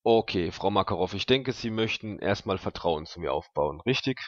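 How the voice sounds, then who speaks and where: A man voicing a secretive, insistent caller in a tabletop game, speaking formally and deliberately as he offers deals. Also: deep voice, expressive, dry recording, lo-fi sound. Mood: coolly insistent.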